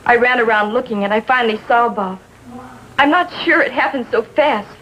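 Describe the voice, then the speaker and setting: A woman playing a high-voiced, distraught teenage girl. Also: a bit bright, close to an everyday voice. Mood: shaken recounting. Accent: American. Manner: halting short sentences. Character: distraught, lovestruck sixteen-year-old girl, gang member's girlfriend. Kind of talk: 1950s TV drama acting. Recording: faintly noisy vintage TV soundtrack.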